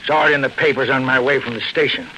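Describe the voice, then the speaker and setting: An actor playing a gruff, blunt, suspicious man in a 1940s radio drama. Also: mid-range voice, quick pace, bright, forceful tone, low-quality recording. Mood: upset. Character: gruff, suspicious man, friend of a blackmail victim. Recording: old radio with faint noise.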